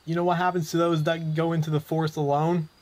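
Hostile townsman played by a man, flat delivery, blunt and threatening, fantasy audio drama. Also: on the high side, fast, natural voice. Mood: accusing. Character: hostile, unyielding townsman enforcing eviction.

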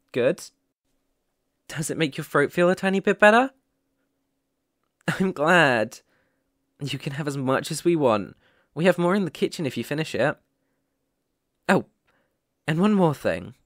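Guy playing sweet teasing boyfriend asmr, a bit high for a man, close dry mic, gentle and affectionate. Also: dry recording. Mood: soothing. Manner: gentle, soothing, affectionate, chuckling often. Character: caring, playful young boyfriend tending his sick partner. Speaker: ASMR roleplay performer. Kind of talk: ASMR boyfriend roleplay, intimate. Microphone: close microphone, dry.

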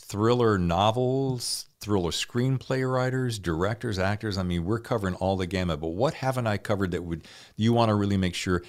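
Very deep-voiced man hosting a podcast. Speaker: podcast host. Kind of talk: casual interview chat.

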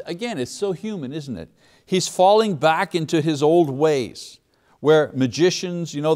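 A man's voice: fairly high pitch, a little mellow. A man giving a recorded Bible lesson, explaining the text in an unscripted way with fillers and restarts, reading scripture passages aloud and asking rhetorical questions. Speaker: Bible teacher.

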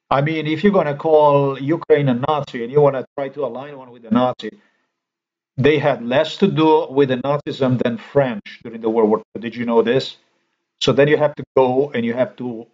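Man, mid-pitched, angry halting rant, dull home-recorded sound. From Slovenia. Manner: indignant, halting, swears. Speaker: commentator. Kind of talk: ranting screen-recorded commentary.

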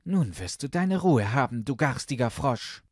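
A man's voice: mid-range voice, animated, unhurried, dry recording, studio quality, pitched above the performer's own voice. A man voicing a petulant young princess in a fairy tale, with a plaintive manner. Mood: bitterly angry. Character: young princess, petulant and tearful, pleading with father.

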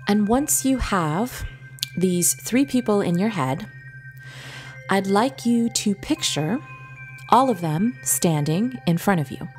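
A woman leading a guided visualization meditation in a gentle, soothing, instructive manner with long pauses. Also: medium pitch, animated. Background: background music.

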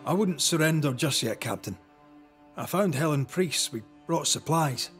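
An actor playing a resolute, principled ghost hunter in a video game, speaking in a measured, firm and pointed way. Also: male voice, a little high, lively intonation, soft background music, close to an everyday voice. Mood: firm resolve. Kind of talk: dramatic video game acting.

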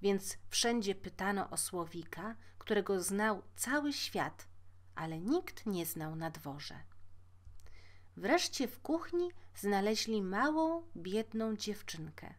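A woman reading a fairy tale aloud as a storyteller, measured and expressive. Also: mid-range voice. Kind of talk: scripted fairy-tale narration.

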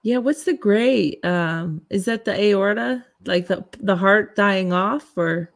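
A woman's voice: mid-range voice, slow pace. A woman hosting a casual interview over a video call, giving short, laid-back, admiring reactions. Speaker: interviewer, gallery show host.

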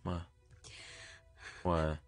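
A young man giving casual live reaction commentary in short exclamations and muttered remarks, recorded in a room at home. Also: drawn-out words. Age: young adult. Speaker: reaction video host. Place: small furnished room indoors. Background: show audio and music playing.

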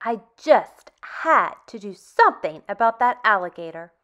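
A woman hosting a storytime for young children, reading and chatting in an animated, expressive, deliberate way. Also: mid-range voice, lo-fi sound. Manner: animated, expressive, deliberate phrasing with dramatic hushes. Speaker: storytime host. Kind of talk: children's read-aloud, addressing young children.

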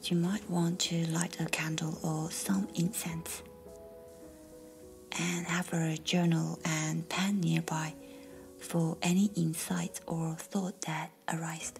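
Woman, whispering meditation guide, slow, flat even tone, faint music behind. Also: low voice, creaky. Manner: slow soft whispering delivery.

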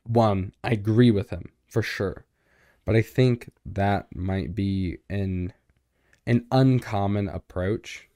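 A young man co-hosting a podcast in a small home studio, with a deep, boomy voice and a relaxed, rambling way of talking full of fillers. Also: slow, dry recording. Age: young adult. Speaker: podcast co-host. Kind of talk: casual chat. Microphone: studio mic on boom arm.

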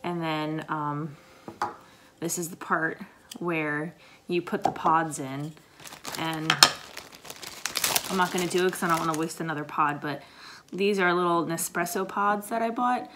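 Low raspy-voiced woman chatting casually. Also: fairly even intonation, a little slow, heavy background noise. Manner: chatty, rambling with fillers. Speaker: vlogger, paramedic. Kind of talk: casual coffee chat to camera. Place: home kitchen, indoors.

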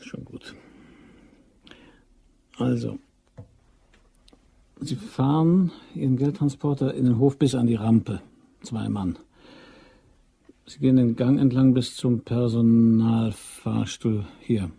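Shifty, mellow-voiced male robbery plotter. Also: mid-range voice, fairly even intonation, slow, gravelly, pauses often, slightly rough, full character voice. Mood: probing, terse. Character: shifty man plotting a robbery, evasive, smooth-talking. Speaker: actor. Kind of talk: German crime radio play acting.

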